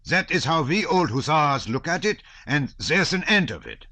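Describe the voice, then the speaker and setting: A man's voice: on the high side, big pitch swings, normal speed, bright, forceful tone, no room sound, pitched above the performer's own voice, full character voice. A man reading an audiobook voices a stout, pompous old colonel who declaims with heavy emphasis on his words. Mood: emphatic.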